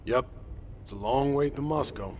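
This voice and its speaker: An actor playing an easygoing, joking, loyal field operative in a video game, talking in casual, wry banter. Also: male voice, medium pitch, normal speed, slight background noise, close to an everyday voice. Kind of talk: cinematic game dialogue acting.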